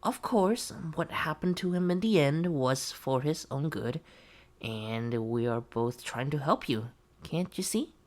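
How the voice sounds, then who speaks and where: A woman's voice: low-pitched, lively intonation, a little slow, darkish tone. A woman playing a gullible, eerily devoted test subject in a horror audio roleplay, pleading yet menacing with false sweetness. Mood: earnest, unsettling.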